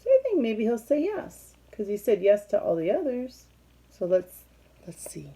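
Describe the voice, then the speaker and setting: A woman reading a picture book aloud to young children, expressive and teacherly, pausing to put questions to her listeners. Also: a little high, slightly rough.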